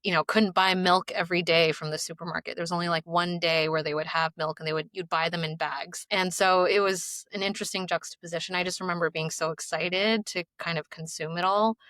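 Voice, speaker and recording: A woman's voice: fairly low pitch, fairly even intonation, a little fast, hardly any pauses, fairly bright tone, no echo. A woman in her thirties from the United States and China, telling her own story casually and upbeat as a podcast guest. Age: 30s. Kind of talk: conversational personal storytelling.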